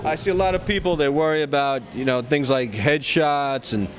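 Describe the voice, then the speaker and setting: A man giving a casual interview in a halting way, often stopping and starting mid-phrase. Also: a little high. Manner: halting, hesitant phrasing with frequent stops. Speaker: actor being interviewed.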